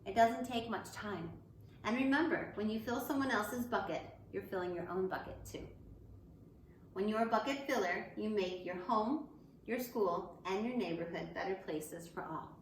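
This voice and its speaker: A woman's voice: medium pitch, moderate pace. Echoey room, lady teacher doing a kids read-aloud, encouraging, bit of noise behind her. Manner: simple, encouraging phrasing aimed at young children. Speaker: elementary school teacher. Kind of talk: children's picture book read-aloud with asides to pupils.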